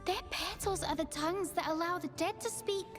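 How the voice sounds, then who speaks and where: A very high, girlish fawn creature speaks over clear background music. She is shy and sweet, stammers when nervous and sounds wistful, like a gentle video game champion. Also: brisk. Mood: dreamy, wistful. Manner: timid, hesitant, stammering, apologetic. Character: shy, anxious young female dream fawn, sweet and wistful. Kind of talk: video game character voicelines.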